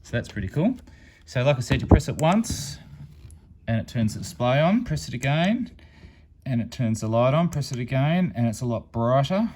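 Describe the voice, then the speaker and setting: A man's voice: medium pitch, big pitch swings, moderate pace, a little mellow, a little hiss or hum. A man casually demonstrating a gadget hands-on, explaining it step by step in a matter-of-fact way. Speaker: amateur radio reviewer and channel host.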